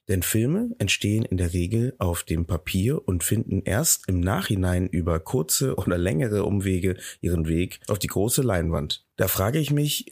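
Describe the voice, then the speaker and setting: Low-voiced male interviewer. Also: a little mellow, slightly rough, no echo, clean studio sound. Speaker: interviewer and moderator. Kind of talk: scripted introduction, then interview questions.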